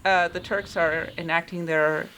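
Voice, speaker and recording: A man's voice: fairly high pitch, little pitch movement, a little slow, a little hiss or hum. A man giving casual, somewhat hesitant video game commentary, often repeating his words. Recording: loud music behind the voice.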